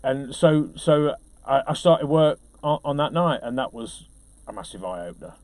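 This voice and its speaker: A man tells casual anecdotes as an interviewed DJ. He is chatty and self-deprecating, with an ordinary mid-pitched voice. The sound is dry, with hardly any room on it. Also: animated, normal speed, a little mellow, dry recording. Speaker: DJ being interviewed.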